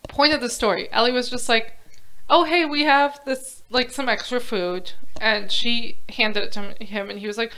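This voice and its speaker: A woman co-hosting a casual podcast, chatty and quick to laugh, often trailing off mid-sentence, recorded at home on lo-fi gear. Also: fairly high pitch, sharp tone, clean tone. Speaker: podcast co-host. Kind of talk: casual chat.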